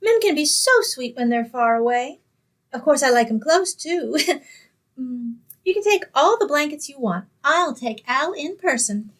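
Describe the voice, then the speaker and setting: Radio comedy ingenue played by a woman: dim, sweet, naive young blonde, very high lively voice. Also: a little slow. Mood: dreamy, delighted. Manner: naive, earnest, guileless. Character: sweet, dim-witted, literal-minded young blonde roommate. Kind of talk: old-time radio comedy acting.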